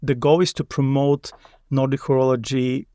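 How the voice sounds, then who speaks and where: A man's voice: medium pitch, little pitch movement, moderate pace, dark tone, no echo, clean studio sound. Finnish man speaking careful English. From Finland. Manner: careful non-native English with restarts. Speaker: podcast guest. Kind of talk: casual interview chat. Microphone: video call.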